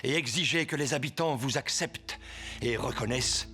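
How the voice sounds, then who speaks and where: A man's voice: medium pitch, normal speed, bright, forceful tone, slightly rough, soft background music. A man voicing a scheming, deferential henchman in a French cartoon dub, coaxing his boss in formal terms.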